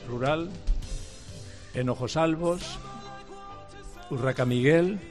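A man, a guest who worked as a doctor, answering questions in a halting way and repeating words. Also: on the high side, faint music behind. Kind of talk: casual interview chat.

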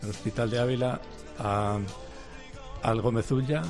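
A man, a guest who worked as a doctor, answering questions in a halting way and repeating words. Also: medium pitch, fairly even intonation, a little mellow, soft background music. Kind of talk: casual interview chat.

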